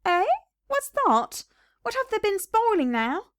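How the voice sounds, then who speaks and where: A woman's voice: very high voice, big pitch swings, a little slow, a bit bright, no room sound, clean studio sound, voice pushed up, exaggerated voice. A woman voicing an impatient older farmer's wife in a storybook, snappish and scolding. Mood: suspicious. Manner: snappish, questioning.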